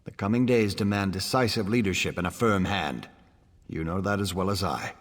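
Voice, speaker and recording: Proud fantasy nobleman, deep voice, commanding, formal, ambitious, game dialogue, long reverb. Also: moderate pace. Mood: resolute, self-assured. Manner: formal, resolute. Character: proud, ambitious, firm-handed baron, military-minded. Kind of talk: fantasy video game voice acting. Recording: echoing hall-like reverb.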